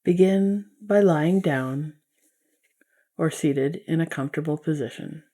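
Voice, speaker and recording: Slow, low-voiced woman guiding meditation. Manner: soothing, unhurried, gentle instruction. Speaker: guided meditation teacher. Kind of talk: guided yoga nidra meditation.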